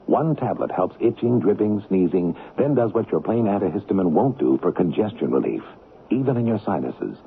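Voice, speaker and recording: Deep, gravelly male commercial announcer. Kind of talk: scripted advertisement read. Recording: narrow phone-like old radio.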